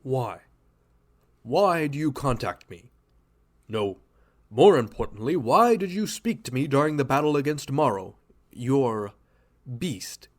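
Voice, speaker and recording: 1940s radio hero, earnest and commanding, pitch swings wide. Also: male voice, big pitch swings, slow pace, pauses often. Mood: demanding. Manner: earnest, dramatic, declamatory.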